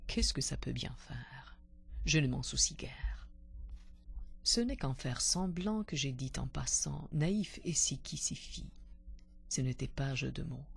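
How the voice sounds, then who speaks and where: A woman reciting poetry expressively, with deliberate dramatic phrasing and a husky, breathy voice that can sink toward a whisper. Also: extremely low pitch, lively intonation. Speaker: poetry reader.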